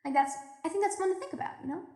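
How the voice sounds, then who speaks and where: A woman acting a lonely, anxious, jaded deep-sea station survivor in an audio drama, rambling and stammering through a confessional recorded log. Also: darkish tone. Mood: musing. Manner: rambling, halting, stammering, self-interrupting. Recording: echoey room.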